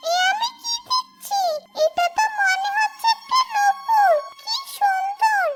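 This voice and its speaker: An extremely high, childlike village girl in a cartoon tale. She is innocent and exclaims wide-eyed at everything, over prominent music. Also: fairly even intonation, slow pace. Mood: curious, delighted. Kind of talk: children's cartoon story acting. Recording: loud music behind the voice.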